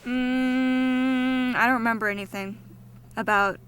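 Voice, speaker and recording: Female podcast co-host, fairly high voice, blunt opinionated casual talk, slight background noise. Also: steady tone, slow pace, fairly bright tone. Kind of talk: casual chat.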